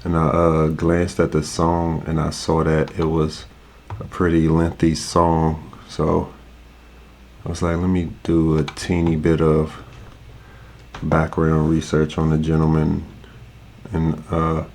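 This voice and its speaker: A man's voice: a bass voice, takes it slowly, a little hiss or hum. A man hosting a reaction video in a loose, casual way, with a stuffed-up voice from allergies. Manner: relaxed, loose, with frequent fillers.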